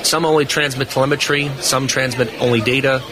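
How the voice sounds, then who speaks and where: A man's voice: mid-range voice, steady tone, a little fast. Guy getting interviewed live at a packed convention, tells detailed techy stories, lots of crowd noise. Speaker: guest, amateur radio expert. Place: busy indoor convention hall booth. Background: crowd chatter.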